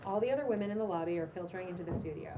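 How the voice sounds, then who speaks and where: A woman's voice: fairly low pitch, racing. A woman reading stage directions as scripted narration at a casual table read. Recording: distant room mic, clear background noise.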